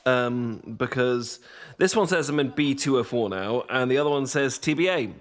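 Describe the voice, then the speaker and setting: A man's voice: mid-range voice, animated, moderate pace, lightly acted. A man performs a young British student with a mid-range voice, secretly sweet on his best mate. He speaks in a hesitant, stumbling way, full of nervous self-deprecation. It plays as a casual, intimate ASMR audio roleplay. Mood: awkward, wry.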